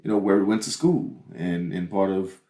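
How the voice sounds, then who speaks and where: A young man from New Orleans with a New Orleans accent, co-hosting a podcast in a halting, filler-heavy casual chat. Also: sits low, fairly even intonation, warm tone. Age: young adult. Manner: halting, self-interrupting, many fillers. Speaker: podcast co-host. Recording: roomy echo.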